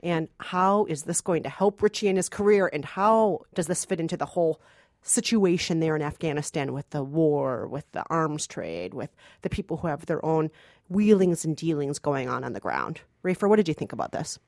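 A woman's voice: deep voice, animated, moderate pace, a little mellow, dry recording, studio quality. A woman co-hosting a movie podcast as a culture producer, conversationally discussing and reviewing films.

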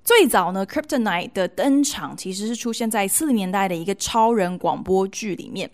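Expressive Taiwanese Mandarin woman podcast host. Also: mid-range voice, moderate pace. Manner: expressive, playful emphasis with colloquial sentence-ending particles. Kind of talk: chatty storytelling monologue to listeners.

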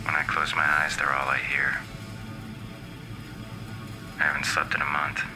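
A man playing a haunted, bitter scientist gone menacing, giving a brooding, deliberate audio drama monologue as a voicemail. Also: extremely low pitch, monotone, music in the background.

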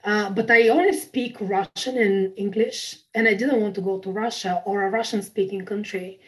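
A woman's voice: mid-range voice, steady tone, normal speed, rough recording. A woman in her thirties with a Bulgarian accent, a podcast guest chatting casually, bubbly and laughing often at herself. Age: 30s. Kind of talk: casual interview chat.

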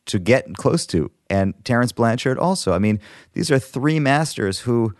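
A man's voice: mid-range voice, lively intonation, normal speed, no room sound, studio quality. A man hosting a thoughtful podcast interview, reflecting ideas back in considered, rephrased sentences.

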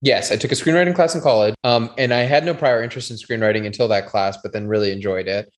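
A man in his thirties from Canada, a doctor and TV writer, talking as a podcast guest in a measured way, full of qualifiers. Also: mid-range voice. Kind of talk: casual interview chat.

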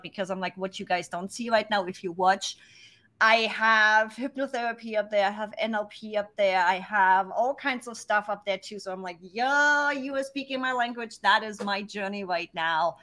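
A woman's voice: mid-range voice, bright, forceful tone. A woman hosting a podcast interview, chatting in a bubbly, exclamatory way. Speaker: podcast host. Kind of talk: casual interview chat.

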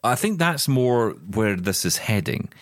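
A man's voice: lowish voice, big pitch swings, unhurried, no echo, clean studio sound. A British man co-hosting a podcast, chatting enthusiastically about tech news with frequent restarts. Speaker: podcast co-host. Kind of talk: casual tech news chat.

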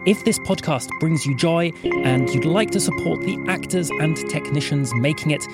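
A woman's voice: low voice, normal speed, loud music behind the voice. A woman reading scripted podcast credits and a patron appeal in an earnest, appreciative way.

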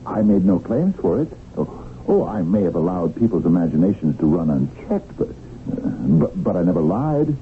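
An actor playing a nervous, weak-willed, self-justifying swindler in a radio drama, hesitant and stammering. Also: male voice, deep voice, sing-song, moderate pace, narrow, tinny audio. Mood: nervous wavering. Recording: thin radio broadcast, faint hiss.

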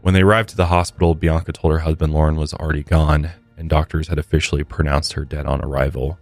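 A man hosting a podcast, telling a true crime story conversationally in a measured, matter-of-fact way with casual asides. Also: a bass voice, level tone, no echo, faint music behind. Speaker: podcast host.

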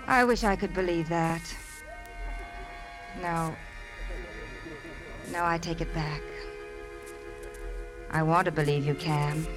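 A woman's voice: sits low, steady tone, slow pace, long pauses, low-quality recording. A woman playing a poised, wary, flirtatious lady in a 1950s radio drama, with a cool, teasing manner. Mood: tender, light. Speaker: actress in a radio play. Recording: vintage 1950s radio with music.

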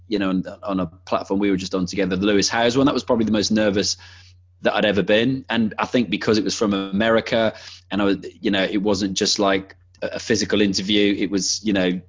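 A man's voice: lowish voice, fairly even intonation, fast, slightly rough, highs cut off. A man talking casually as a podcast guest, enthusiastic and conversational, restarting his sentences as he goes.

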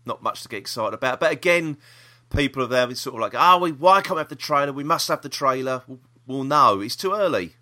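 Animated British man, bright voice. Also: mid-range voice, brisk. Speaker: podcast host. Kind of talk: casual chat about TV news.

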